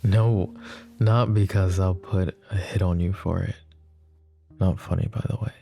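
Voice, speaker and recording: A man voicing a lovesick, possessive young mafia boss in an ASMR roleplay, speaking softly and intimately, often close to a whisper. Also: low-pitched, fairly even intonation, a little slow, creaky. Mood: tender, yearning. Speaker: voice actor.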